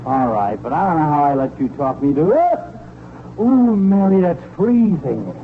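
A man's voice: fairly high pitch, big pitch swings, normal speed. An actor playing a flustered, put-upon middle-aged father and husband in a sputtering, exclamatory 1940s radio comedy style. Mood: grudging resignation. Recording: scratchy, tinny 1940s radio broadcast.

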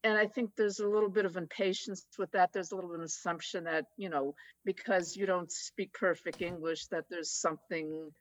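A woman with a Boston accent, talking as a guest in a casual conversation, chatty and quick to laugh. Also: on the low side, fairly even intonation, dry recording, low-quality recording. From United States. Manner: chatty, laughs easily, many fillers.

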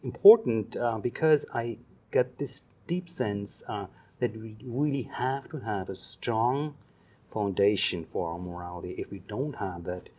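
A man's voice: fairly low pitch, dark tone, breathy edge. German-accented man, reflective and hesitant. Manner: reflective, pausing with many ums and uhs. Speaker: guest, psychology professor. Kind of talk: thoughtful interview answers.

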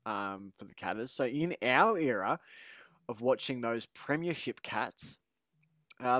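A man's voice: a little high, a bit bright, no echo, clean studio sound. A man hosting a casual podcast chat, rambling and teasing his co-hosts with lots of laughter. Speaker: podcast host.

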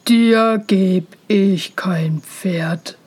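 A woman acts out a gruff father from a fairy tale. He speaks very slowly and dismissively, drawing out his scornful words. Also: medium pitch, darkish tone, voice pushed down. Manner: dismissive, drawn-out. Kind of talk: fairy tale storybook reading.

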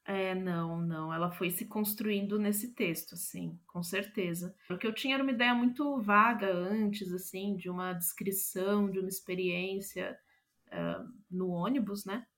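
A woman's voice: mid-range voice, fairly even intonation, normal speed, a bit bright. A Brazilian woman speaking as a playwright in a casual interview, reflecting on writing and often ending phrases with small question tags. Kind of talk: casual interview talk about own writing process.

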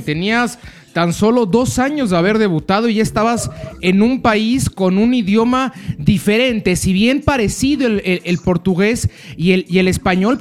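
Male interviewer, Mexican accent, notably high-pitched voice, conversational sports-show delivery.

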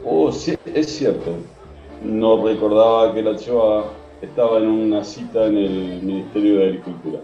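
An actor playing a courteous, mildly flirtatious gentleman customer in a radio soap opera, speaking politely and unhurriedly. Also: lowish voice, normal speed, soft background music, lightly acted. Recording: dull, roomy radio drama recording.

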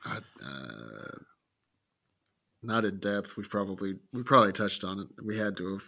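Low-voiced man giving laid-back replies. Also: very animated, normal speed, gravelly, pushed and bright. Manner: laid-back, mostly short agreeing replies. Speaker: podcast co-host. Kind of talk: casual podcast chat.

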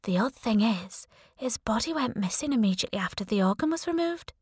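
A woman voicing a sympathetic female hospital worker who talks in a soothing, confiding, gently gossipy way. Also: high voice, lively intonation, fairly quick, dry recording, studio quality, voice pushed up. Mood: conspiratorial.

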